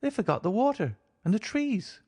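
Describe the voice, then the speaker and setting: Male narrator putting on a small frontier girl, high sing-song kid voice, big swoops, plain folksy talk. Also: very animated, moderate pace, a little mellow, dry recording, clean studio sound, exaggerated voice. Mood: eager. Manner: rustic dialect, high childlike lilt. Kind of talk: audiobook character voice.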